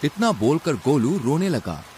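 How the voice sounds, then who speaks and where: A man's voice: on the high side, sing-song, unhurried, darkish tone, slight background noise. A man narrating a scripted animal fable as a storyteller for a cartoon.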